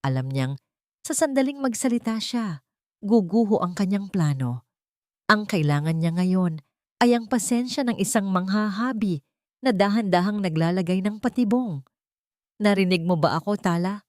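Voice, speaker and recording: A woman's voice: medium pitch, animated, normal speed, darkish tone, dry recording, clean studio sound. A woman reading a scripted, dramatic love story as its narrator.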